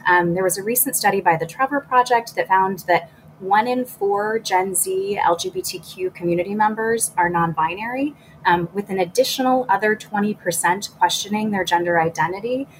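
A woman speaks in a mid-range voice with a clear, explanatory delivery, as if addressing a panel over a video call. A faint hiss of background noise sits under her voice. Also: mid-range voice, rough recording.

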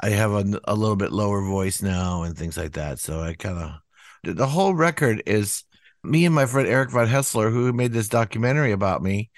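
An older man musician talks in a loose, rambling way, stacking up "you know"s and slipping into higher voices when he quotes people. His voice sits low. The sound is dry and close. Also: lively intonation, fairly quick, no echo. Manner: rambling, many "you know" fillers, acts out quotes in a raised voice. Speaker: musician guest. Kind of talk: casual interview talk.

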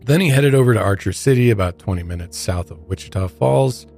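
Low-voiced American male podcast host. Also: animated, fairly quick, vocal fry, a little mellow, faint music behind. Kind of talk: conversational true crime narration.

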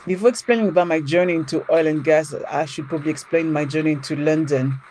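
A woman's voice: low-pitched, fast talker, a little hiss or hum, rough recording. French-accented woman telling her story. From France. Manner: animated, laughs often, frequent restarts. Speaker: podcast guest. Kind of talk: casual storytelling interview.

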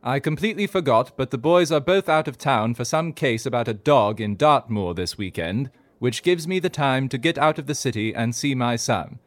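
An actor playing a level-headed, gently droll Victorian gentleman in an audio fiction comedy, diplomatic and wry. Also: medium pitch, lively intonation, moderate pace, hardly any pauses, dry recording, close to an everyday voice. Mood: dry, measured.

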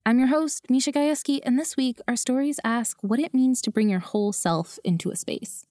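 A woman's voice: sits high, brisk, a little mellow, no echo, clean studio sound. A woman hosting a science storytelling podcast, reading a scripted introduction in an upbeat, eager way. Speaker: podcast host.

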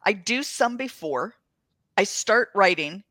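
A woman's voice: mid-range voice, lively intonation, takes it slowly, fairly bright tone, no room sound. A woman hosting an interview, chatting eagerly with a fellow author and agreeing often. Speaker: podcast host and fellow author. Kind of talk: casual interview chat.

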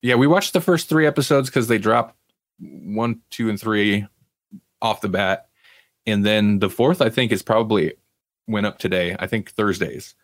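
A man's voice: lowish voice, moderate pace, no room sound, studio quality. A man co-hosting a TV review podcast, chatting casually in a rambling, opinionated way with frequent asides. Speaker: podcast co-host reviewing TV series. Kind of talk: casual chat.

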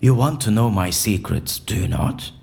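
An actor playing a cunning captive man in an audio drama, speaking in a deliberate, mysterious, theatrical manner. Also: on the low side, animated, vocal fry, darkish tone. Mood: bargaining, assured.

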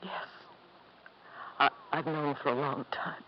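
An actress playing a wounded, restrained wife with wry bitterness in a radio drama. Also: female voice, low voice, steady tone, unhurried. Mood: pained, urgent. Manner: theatrical, emotionally restrained. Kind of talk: 1950s radio drama acting. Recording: muffled, faintly noisy 1950s radio recording.

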